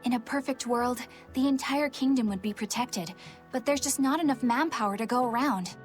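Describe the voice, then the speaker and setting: A woman's voice: a little high, moderate pace, soft background music, natural voice. An actress playing a dutiful female inspector in a video game, explaining things formally and precisely. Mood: explanatory.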